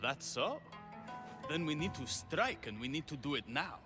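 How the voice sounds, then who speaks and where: An actor playing a resolute rebel commander in a dramatic video game scene. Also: male voice, on the high side, very animated, moderate pace, over music.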